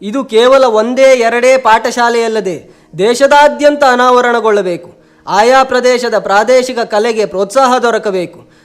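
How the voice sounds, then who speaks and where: A man's voice: very high voice, a little slow, clean tone. A man voicing an agreeable, hopeful puppet friend, speaking formal scripted lines in a declamatory way. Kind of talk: scripted puppet-show dialogue.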